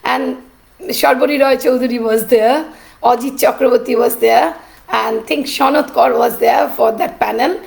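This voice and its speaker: A middle-aged woman, an artist and teacher telling her story in a cracked, rough voice, pausing often with "uh". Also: a little high, fairly even intonation, a little mellow. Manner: halting, with many "uh" pauses. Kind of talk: personal storytelling.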